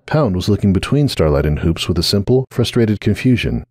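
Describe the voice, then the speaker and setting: Deep, animated male story narrator. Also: brisk, dry recording, clean studio sound. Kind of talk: dramatic scripted fan fiction narration.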